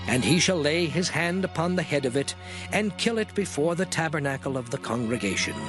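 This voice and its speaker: An actor playing a solemn lawgiving voice in a dramatized Bible reading, declaiming scripture. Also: male voice, fairly high pitch, lively intonation, a little fast, natural voice. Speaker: scripture reader. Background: music under the voice.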